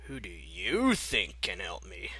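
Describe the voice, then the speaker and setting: A guarded fighting man from a fantasy story, as a male reader plays him. He speaks slowly and deliberately, with a forceful, bright edge. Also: low voice, wildly swooping pitch, slightly rough, dry recording, pitched below the performer's own voice, full character voice. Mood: awkward, curious. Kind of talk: fan fiction character reading.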